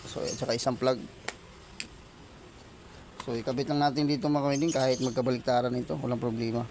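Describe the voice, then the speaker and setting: A man giving a casual hands-on repair tutorial, halting and repetitive, often addressing his viewers. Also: mid-range voice, level tone. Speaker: electronics repair vlogger. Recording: loud vehicle and outdoor ambient noise.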